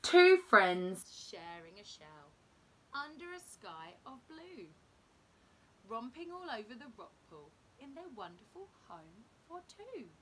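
Animated, slow-paced woman reading a storybook. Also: poor audio. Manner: animated, dramatic, deliberate. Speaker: teacher reading a picture book to children. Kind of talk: expressive children's storybook read-aloud. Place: indoor room at home. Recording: clear low background rumble noise.

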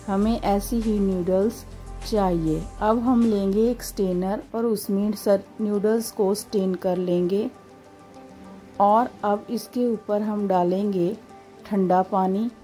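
A woman's voice: mid-range voice, steady tone, takes it slowly, mellow, faint music behind. A woman hosting a cooking channel, giving a step-by-step recipe voice-over in short, instructive phrases.

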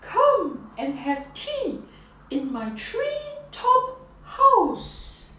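A woman's voice: very high-pitched, huge pitch swings, drawn-out words, bright, forceful tone, pitched above the performer's own voice, exaggerated voice. A woman voicing a grand old male owl with a lofty, sing-song manner, in an echoey room from a distant mic.

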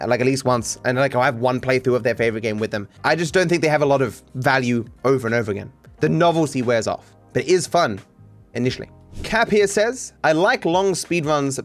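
Frank, rambling male streamer. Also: a little high. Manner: rambling, frank, swears casually. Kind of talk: casual commentary reading chat. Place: dark room indoors. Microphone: studio mic on boom arm, headphones.

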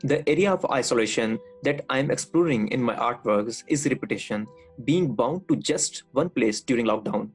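A man from Lahore, a visual artist, reading a measured statement about his artwork. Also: medium pitch, lively intonation, slightly rough, soft background music. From Lahore, Pakistan. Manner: measured, read aloud.

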